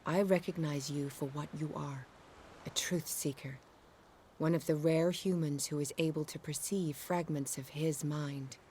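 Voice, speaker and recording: Low-voiced fervent prophetess. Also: female voice, fairly even intonation, warm tone, slight background noise. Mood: fervent, ominous. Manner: solemn, measured, cryptic. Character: fervent female prophet revealing cosmic truth to a chosen seeker. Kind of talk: dramatic video game cutscene acting.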